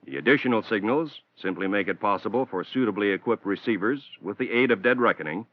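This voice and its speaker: An American man reading a scripted instructional narration for a training film in a measured, formal, explanatory manner, on a 1960s film soundtrack. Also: lowish voice, no echo. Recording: dull, band-limited 1960s film soundtrack.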